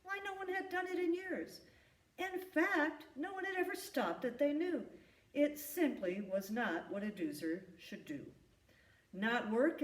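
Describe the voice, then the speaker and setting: Echoey woman reading a children's story. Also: on the high side. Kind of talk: read-aloud rhyming children's story. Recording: echoey room, distant from the mic.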